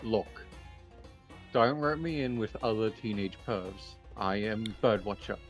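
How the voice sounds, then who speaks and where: A man's voice: fairly low pitch, a little slow, natural voice. A man playing a dry, touchy teenage birdwatcher in an improvised game roleplay, giving curt replies. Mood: dry, irritated. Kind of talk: improvised Minecraft anime roleplay. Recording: dull lo-fi mic with music. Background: music.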